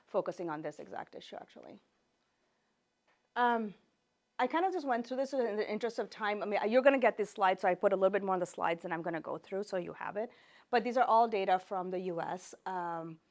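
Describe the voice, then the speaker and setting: A woman's voice: mid-range voice, darkish tone. A woman professor whose native language is Gujarati gives an informal academic lecture, talking conversationally, often correcting herself and putting questions to the class.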